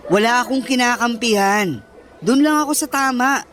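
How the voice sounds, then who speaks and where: Earnest, protective young boyfriend. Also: male voice, very high-pitched, normal speed, a bit bright. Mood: reproachful, firm. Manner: earnest, reassuring. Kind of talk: Filipino radio drama acting.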